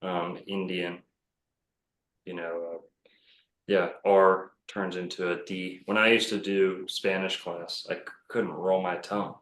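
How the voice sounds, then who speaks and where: Man, very low voice, rambling, self-assured, casual podcast guest, roomy echo. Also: level tone, slow pace, a bit bright, slight background noise. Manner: rambling, self-assured, many fillers and restarts. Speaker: podcast guest, bookstore owner.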